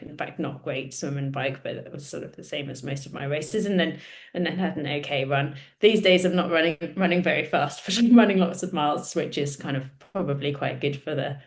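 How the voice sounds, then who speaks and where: A woman's voice: low-pitched, steady tone, moderate pace. A woman in her thirties, a podcast guest chatting casually, laughing often and self-deprecating. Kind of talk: casual interview chat.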